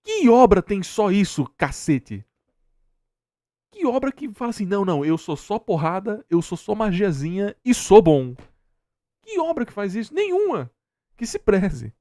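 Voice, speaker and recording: A man's voice: high voice, very animated, moderate pace, warm tone, dry recording, studio quality. A young Brazilian man giving casual, opinionated reaction commentary, argumentative and exasperated, hammering points home by repeating them. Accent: Brazilian Portuguese. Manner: exasperated, argumentative, emphatic repetition. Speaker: streamer reacting to a video.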